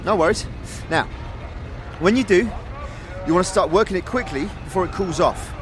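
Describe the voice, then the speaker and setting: A man plays a teenage boy working a forge, with a high voice and an easygoing, encouraging manner. He chats in street slang, fantasy audio drama style, with music underneath. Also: animated, moderate pace. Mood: friendly, chatty. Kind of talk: audio drama character acting.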